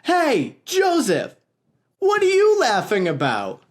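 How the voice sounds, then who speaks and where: A woman's voice: high voice, wildly swooping pitch, slow, pitched above the performer's own voice, exaggerated voice. A woman voicing a bold, loyal young boy in a children's story, insistent and challenging. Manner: calling out, challenging.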